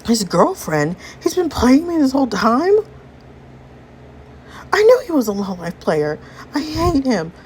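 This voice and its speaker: Woman acting hot-tempered, hurt young woman, pitched up. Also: sing-song, moderate pace, a little mellow, a little hiss or hum, lightly acted. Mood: hurt, angry.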